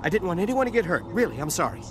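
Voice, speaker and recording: An actor playing a shaken, guilty male smuggler in a video game, halting and pleading. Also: high voice, very animated. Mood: pleading, sorry. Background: loud game music.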